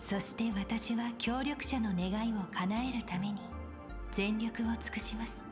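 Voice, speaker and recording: A woman voicing a poised, formal young negotiator in a game, speaking in very polite, measured phrases. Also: a little high, close to an everyday voice. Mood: courteous. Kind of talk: Japanese game voice acting. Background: game music.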